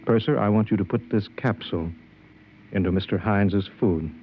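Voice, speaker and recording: Guy playing a smooth grandiose villain, fake friendly and bossy, old dull radio sound with some hiss. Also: fairly low pitch, normal speed, close to an everyday voice. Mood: slyly persuasive. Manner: grandiose, insinuating, commanding. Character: smooth, menacing male demagogue and blackmailer, falsely friendly. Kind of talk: 1950s radio drama acting. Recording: dull, noisy vintage radio recording.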